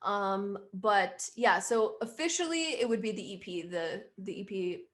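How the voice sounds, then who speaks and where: A woman's voice: medium pitch, lively intonation, a little slow, a bit bright. A young woman musician chatting casually in an interview, rambling and quoting herself often.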